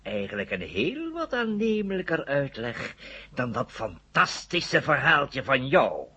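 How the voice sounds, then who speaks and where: An actor playing an absent-minded, excitable older male professor in a 1970 radio drama, muttering and dithering in a husky, creaky voice. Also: medium pitch, a little slow, full character voice. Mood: rambling, fussy. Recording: dull, noisy old radio recording.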